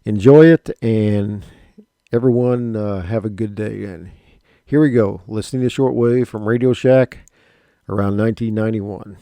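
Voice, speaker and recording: A man with a low, very mellow voice and a gravelly creak speaks casually and haltingly. He sounds like he is making an informal introduction, recorded dry and close. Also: dry recording. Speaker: uploader introducing an old tape.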